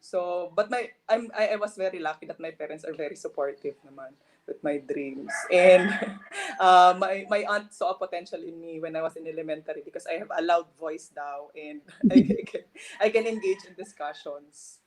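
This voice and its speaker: A woman's voice: lowish voice, normal speed, a crowd in the background, low-quality recording. A woman with a Filipino accent, a guest on a talk show, answering earnestly with light laughs. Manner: earnest, laughs between phrases. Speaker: guest, new bar passer. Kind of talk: interview answers.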